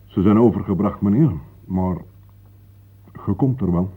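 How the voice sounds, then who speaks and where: An actor playing an older, guarded, matter-of-fact local man with a husky voice, formally polite, in a Dutch radio play. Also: lowish voice, unhurried, phone-quality sound. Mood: evasive.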